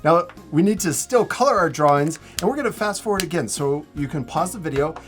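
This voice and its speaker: A man's voice: a little high, expressive, fairly quick, faint music behind. A man hosting a casual step-by-step art tutorial, giving encouraging, simple instructions for children. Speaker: host teaching drawing to children.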